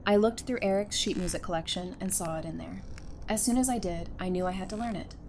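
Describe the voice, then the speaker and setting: A woman's voice: on the low side, fast talker, darkish tone, a little hiss or hum, natural voice. Woman acting a playful, teasing young survivor. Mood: warm, eager. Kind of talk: fan audio drama acting.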